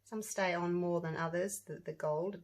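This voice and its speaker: A woman with a croaky voice, chatting casually to viewers as she demonstrates a resin craft project. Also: fairly low pitch, fairly even intonation, normal speed. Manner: chatty, rambling, talks to viewers while working. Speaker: resin craft channel host.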